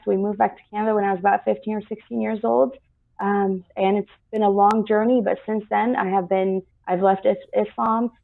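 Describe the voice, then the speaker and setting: An earnest woman from the United States tells her own story as an interview guest. She speaks steadily with frequent pauses and has a slightly gravelly edge. The sound is thin and phone-like from a video call. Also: medium pitch. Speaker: guest activist. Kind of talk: personal account in an interview. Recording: tinny phone-like line.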